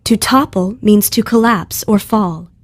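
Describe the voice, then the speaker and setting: A woman's voice: medium pitch, a little slow, no room sound, studio quality. A woman reading words, example sentences and definitions in a scripted educational style. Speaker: vocabulary lesson narrator.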